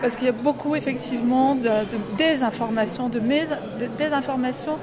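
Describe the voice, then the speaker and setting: Older woman, grandmother, high voice, emotional, halting, crowd chatter. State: moved, emotional. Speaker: audience member. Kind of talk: street interview. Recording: busy crowd behind.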